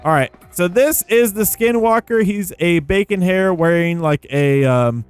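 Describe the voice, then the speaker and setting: A man's voice: sits high, measured pace, clear, resonant tone. A man hosting a gaming video with casual, rambling commentary, playful and full of informal slang. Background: background music.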